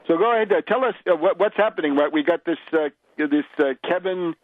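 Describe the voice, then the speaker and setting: A man hosting political talk radio and interviewing a guest, speaking in a halting way with hesitations. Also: on the high side, no room sound. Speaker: radio talk show host. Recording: lo-fi, band-limited radio audio.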